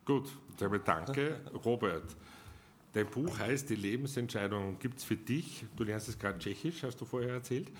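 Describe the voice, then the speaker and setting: A man's voice: mid-range voice, animated, normal speed, slight background noise. A man moderating an author evening, asking informal questions. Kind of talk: panel moderation.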